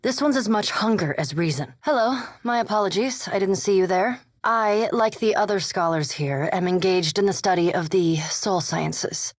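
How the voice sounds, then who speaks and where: A reserved vampire scholar played by an actress speaks in a medium-pitched woman's voice, choosing words with formal precision. The game dialogue is measured and coolly polite, recorded close and dry with no room sound. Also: dry recording, natural voice. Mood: polite, guarded. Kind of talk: video game character dialogue.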